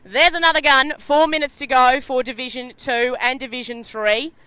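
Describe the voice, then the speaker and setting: Loud, bubbly woman commentating sailing. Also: sits high, steady tone, moderate pace, clean tone, no room sound, poor audio. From Australia. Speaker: live race commentator. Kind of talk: live sailing commentary from a boat. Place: media boat on the water.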